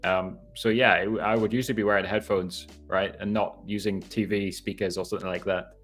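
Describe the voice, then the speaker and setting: Thirties man, northeast English accent, lowish voice, rambling chatty host of a live Q&A, faint music bed. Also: steady tone, fairly quick, a bit bright. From northeast England. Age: 30s. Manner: rambling, self-correcting, laughs often. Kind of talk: casual live Q&A. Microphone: headphones on.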